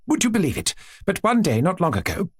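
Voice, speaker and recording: A lively, talkative aristocratic lady who presses her views as though always being argued with, as a male reader puts her on. The voice rides up high and swings animatedly, with a slightly husky grain. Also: a little fast, no room sound, clean studio sound, full character voice. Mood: vivacious. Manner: vivacious, insistent, talks as if contradicted. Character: chatty aristocratic woman, princess, strict mother.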